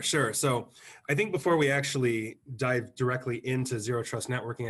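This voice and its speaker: A man with a hoarse, fading voice from the flu, explaining technical ideas as a guest expert on a webinar, clearing his throat now and then. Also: medium pitch, fairly bright tone. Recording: remote webinar audio.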